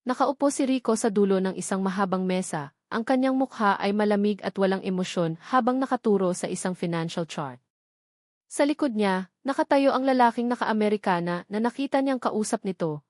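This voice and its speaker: A woman's voice: medium pitch, a little mellow, no echo, studio quality. A woman with a Filipino accent reading a scripted love story as a dramatic narrator.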